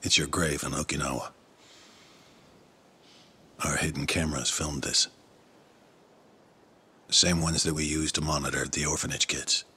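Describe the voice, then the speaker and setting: An actor playing a grave, composed man in a video game drama, speaking in a measured, deliberate way. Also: extremely low pitch, little pitch movement, sharp tone, husky, full character voice. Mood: grave, controlled. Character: grave, composed man revealing hidden camera footage.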